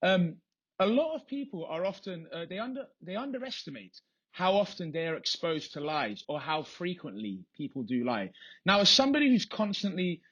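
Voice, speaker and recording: A man's voice: high voice, very animated, moderate pace, a bit bright, husky. A man hosting a podcast interview, asking long, rambling questions with frequent restarts. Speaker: podcast host. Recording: dull, muffled lo-fi audio.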